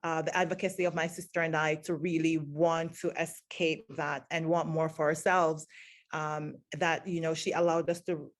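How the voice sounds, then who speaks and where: Jamaica-raised woman teacher in an interview, earnest and reflective, lowish voice, lots of um. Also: level tone, moderate pace. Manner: earnest, reflective, many fillers. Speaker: guest, teacher. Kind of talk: interview answers.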